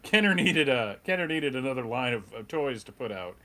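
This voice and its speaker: Bright-voiced stammering man, lively pitch. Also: fairly quick. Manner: stammering, repeats words. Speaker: panelist at a fan convention discussion. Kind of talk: casual fan discussion with comic play-acting.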